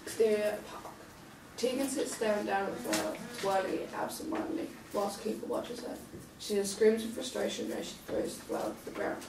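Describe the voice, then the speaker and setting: Woman, scripted narration, stage directions, middle pitch, distant from mic, noisy room. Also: fairly even intonation, a little fast, creaky, reverberant. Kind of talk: scripted narration at a table read. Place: table read in a room.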